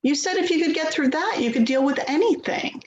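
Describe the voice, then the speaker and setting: In a roomy, slightly muffled recording, an actress plays an anxious grown daughter in a stage drama. She is placating and quick to blame herself, with a highish voice that swings expressively. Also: expressive, a crowd in the background. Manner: placating, hesitant, self-blaming. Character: Louise, anxious self-doubting grown daughter of a difficult mother. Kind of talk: dramatic play reading, naturalistic dialogue. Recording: echoey, dull, noisy remote recording.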